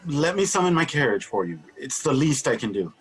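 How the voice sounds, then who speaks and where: An actor playing a genial, eager-to-please wealthy father and host in a radio drama, courteous and placating, with a husky voice. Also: medium pitch, very animated, a little fast, faint music behind, low-quality recording. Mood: apologetic, anxious.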